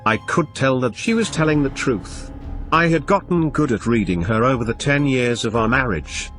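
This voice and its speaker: A man reading a scripted first-person horror story as an expressive narrator. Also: mid-range voice, normal speed. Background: background music.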